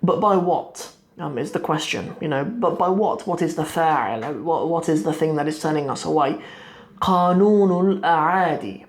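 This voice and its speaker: A young man in his twenties teaching Arabic poetry line by line, thinking aloud with many pauses and restarts, seated at a desk in a small room. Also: fairly high pitch. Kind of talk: explaining a poem line by line.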